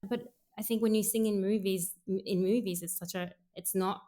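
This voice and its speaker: A woman's voice: medium pitch, steady tone, moderate pace. A young adult woman singer from Sri Lanka, raised in Australia, talking casually in an interview and thinking aloud with lots of filler words. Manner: soft-spoken, frequent "like" fillers, thinking aloud. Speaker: singer, guest.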